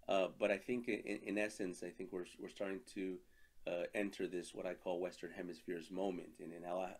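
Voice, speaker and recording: A man's voice: low-pitched, a little mellow. A man speaking on a webinar panel, slightly nasal from a cold, with frequent restarts and fillers. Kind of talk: webinar talk. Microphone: video call.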